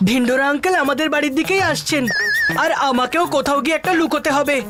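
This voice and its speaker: A woman voicing a scheming, excitable young boy in a children's cartoon, with exaggerated, wheedling delivery. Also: high-pitched, quick pace, very noisy, lightly acted. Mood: sly, urgent. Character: scheming, excitable young boy trying to fool his father. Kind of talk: Bengali children's cartoon dub acting. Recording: loud music bed behind the voice. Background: loud cartoon music.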